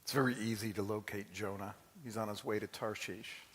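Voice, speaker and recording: Lower-voiced man in an echoing hall. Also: fairly even intonation, brisk, a bit bright, slightly rough. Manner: rhetorical questioning. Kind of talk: reflective spoken address to listeners. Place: large synagogue hall, live performance. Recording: reverberant hall with faint noise.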